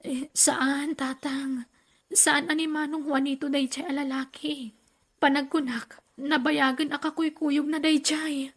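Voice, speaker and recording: A woman's voice: sits high, little pitch movement. A woman acting a hesitant young daughter and sister in an Ilocano radio drama. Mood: timid, hesitant. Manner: hesitant, halting.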